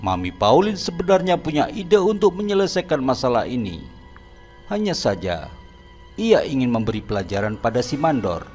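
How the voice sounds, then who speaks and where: A man's voice: medium pitch, sing-song, moderate pace, darkish tone. A man narrating a horror cartoon in a scripted, dramatic storytelling manner. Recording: narration over background music.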